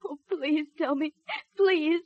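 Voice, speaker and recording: A woman's voice: high voice, slow, a little hiss or hum. An actress playing a frightened, tearful young captive girl pleading in a 1950s radio drama. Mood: terrified, pleading. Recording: muffled 1950s radio recording.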